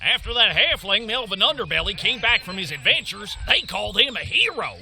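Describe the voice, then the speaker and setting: A man playing an excitable peasant villager in a comic video game. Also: high-pitched, fairly quick, vocal fry, slight background noise, heavily acted. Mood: aggrieved.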